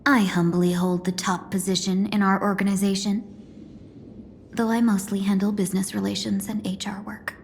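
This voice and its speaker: A woman playing a sly, courteous fox lady and smooth-talking negotiator in a video game, measured and theatrical. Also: mid-range voice, flat delivery, a little hiss or hum, close to an everyday voice. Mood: grandiose.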